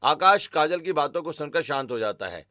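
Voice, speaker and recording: A man's voice: on the high side, lively intonation, normal speed, bright, forceful tone. A man giving scripted narration for a cartoon family story.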